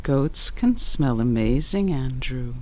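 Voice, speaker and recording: An actress playing a sweetly menacing school counselor who is secretly a monster, genteel and savoring, in a horror audio drama. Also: female voice, very deep voice, expressive, takes it slowly, background noise, heavily acted. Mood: patronizing, savoring. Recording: dramatic music behind the voice.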